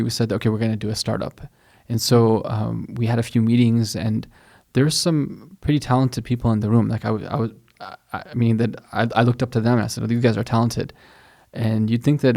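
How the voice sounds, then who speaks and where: Middle-aged man, podcast guest, soft-spoken, flat delivery, gravelly edge. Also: mid-range voice. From United States. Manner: soft-spoken, hesitant, many restarts. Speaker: guest scholar. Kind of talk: casual podcast interview. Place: mosque studio.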